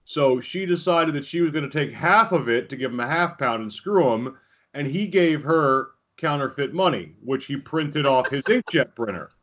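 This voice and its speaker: A man's voice: mid-range voice, expressive, moderate pace, a bit bright, lo-fi sound. An American man hosting a podcast interview, telling stories in a rambling, informal, amused way. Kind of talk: casual chat, storytelling.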